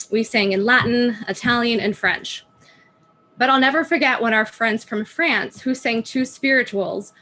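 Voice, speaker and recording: A woman's voice: fairly high pitch, a bit bright. A woman student presenting a prepared talk over a video call, telling a story and asking rhetorical questions. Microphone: video call microphone.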